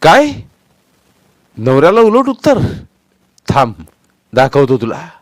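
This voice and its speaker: A man's voice: a little high, wildly swooping pitch, slow, no echo, voice pushed up, exaggerated voice. A man doing a bellowing bully father. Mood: furious. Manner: shouting, threatening. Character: angry father, bullying and loud.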